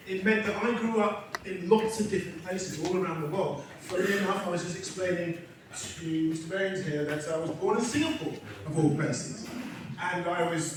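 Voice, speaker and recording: Englishman in his 50s giving an off the cuff speech, lots of ums, high voice, picked up far off from the back. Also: background noise. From England. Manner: hesitant, with many ums and restarts. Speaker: guest speaker at a community event. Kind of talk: impromptu speech. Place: indoor event venue. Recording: echoey hall recorded from far off.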